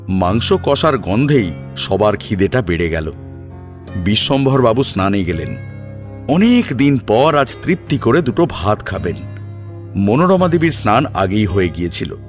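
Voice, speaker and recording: Expressive male story narrator. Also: fairly low pitch. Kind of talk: scripted dramatic story narration.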